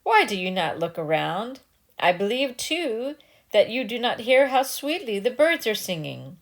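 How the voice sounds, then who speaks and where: A wicked storybook wolf, played by a woman reading aloud, smooth-talking and cunning. He coaxes his prey with very animated, swooping intonation. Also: on the high side, measured pace, pitched above the performer's own voice. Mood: sly, persuasive.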